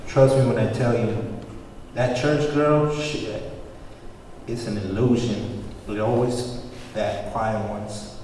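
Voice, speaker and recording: A man's voice: lowish voice, steady tone, takes it slowly, long pauses, darkish tone. A man playing a cocky, streetwise tough talker in a script table read, slangy and sly. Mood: sly, knowing. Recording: very echoey hall, distant mic, faint noise.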